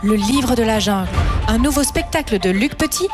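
Lady doing a radio ad, higher voice, music behind. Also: expressive, moderate pace. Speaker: advert announcer. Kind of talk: scripted show promo.

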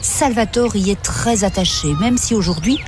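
A woman's voice: medium pitch, normal speed. A woman reporter reading scripted documentary narration. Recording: music under the narration.

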